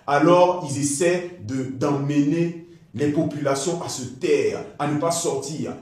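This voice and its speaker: A man delivering a fiery political speech in a forceful, declamatory way with emphatic repetition. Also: a little high. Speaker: political activist. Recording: very echoey, reverberant sound.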